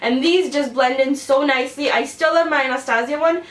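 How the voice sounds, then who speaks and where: A woman's voice: sits high, fairly quick, a bit bright. Young woman vlogging, chatty and rambly, kinda congested, roomy echo. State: congested with a cold. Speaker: beauty vlogger. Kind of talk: casual chat to camera. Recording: roomy echo, mic a bit distant.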